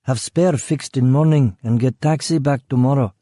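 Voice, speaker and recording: A man's voice: mid-range voice, normal speed, warm tone, dry recording, clean studio sound, voice pushed up, close to an everyday voice. A man voicing a hitman who poses as a lost foreign driver, with a put-on Eastern European accent and broken English. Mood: feigned helpless. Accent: fake Eastern European. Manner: broken English, feigning bewilderment. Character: killer faking clueless foreign stranded driver, male.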